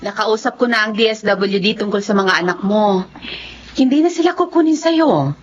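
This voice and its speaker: A woman's voice: mid-range voice, lively intonation, normal speed, lightly acted. A woman playing a composed, kindly social worker in a drama, formal and earnest, with a Filipino accent. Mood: encouraging. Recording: dull lo-fi audio with light noise.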